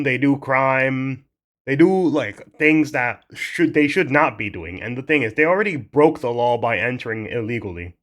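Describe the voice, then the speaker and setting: Young male commentator, mid-pitched, heated casual rant, swears, restarts lines. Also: fairly bright tone. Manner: heated, blunt, swears freely, restarts sentences.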